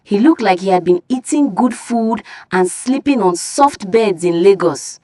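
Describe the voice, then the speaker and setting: A woman's voice: mid-range voice, lively intonation, a little slow, dark tone, dry recording. A woman narrating a scripted folktale as a storyteller.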